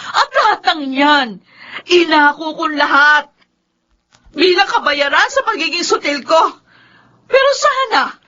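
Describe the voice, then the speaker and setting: High-pitched, emotional Filipino woman. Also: lively intonation, measured pace, pauses often, sharp tone, full character voice. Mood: anguished, defiant. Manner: melodramatic, tearful. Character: emotional, remorseful woman, long-estranged sister of Feli. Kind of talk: Filipino radio drama acting. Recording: muffled radio drama, faint music.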